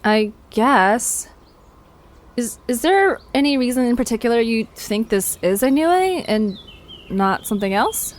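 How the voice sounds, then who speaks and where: Lively, higher-pitched actress as a blunt young woman. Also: a little slow. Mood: puzzled. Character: blunt, flustered young woman leading amateur investigation. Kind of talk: audio drama dialogue, conversational.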